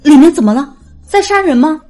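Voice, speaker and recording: A curious young princess of an audiobook tale, acted out by a woman. She is naive and impulsive, asking questions in a high voice. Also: lively intonation, brisk, darkish tone, soft background music. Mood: alarmed.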